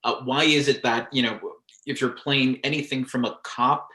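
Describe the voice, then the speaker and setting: A man's voice: medium pitch, fairly even intonation, moderate pace, bright, forceful tone, roomy sound. A man moderating a panel discussion over a video call, speaking in an articulate and inviting way and passing questions to the guests.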